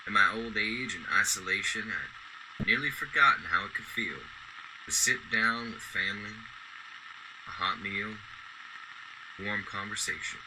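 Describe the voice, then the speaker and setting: A low, reflective old war veteran played by a man in an old-time radio drama. He is kindly and melancholy, speaking with wistful care over clearly audible noise. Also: unhurried, long pauses, lightly acted. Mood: moved, self-doubting. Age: older.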